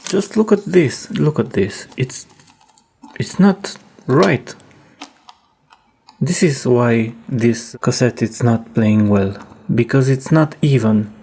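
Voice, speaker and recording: Slow-talking man with a mellow voice. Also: mid-range voice, lively intonation, creaky, breathy edge. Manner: hesitant, halting, thinking aloud. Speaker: host demonstrating a cassette repair. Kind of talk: casual hands-on repair narration.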